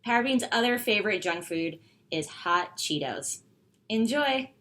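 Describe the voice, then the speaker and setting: A woman's voice: medium pitch, lively intonation, unhurried, fairly bright tone. A woman author posing a trivia question in an upbeat way. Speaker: young adult author.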